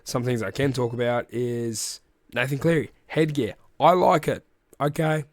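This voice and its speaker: A man's voice: medium pitch, steady tone, unhurried, dry recording, studio quality. Australian man talking through a cold. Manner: rambling and chatty, with restarts and asides. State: sick with a cold, phlegmy and coughing. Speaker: rugby league podcast host. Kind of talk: casual recap chat.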